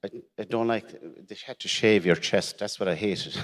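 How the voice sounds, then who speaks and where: Irish man in a reverberant hall. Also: on the low side. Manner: halting, repeats words, wry laughs. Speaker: shed member telling his story. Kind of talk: personal story at a conference. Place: conference hall.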